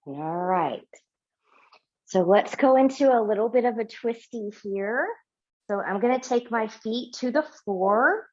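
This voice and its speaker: Gentle yoga teacher woman, muffled sound. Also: a little high, lively intonation. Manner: slow, gentle cueing with repeated affirmations. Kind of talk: guided yoga class instruction. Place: small home yoga studio, indoors.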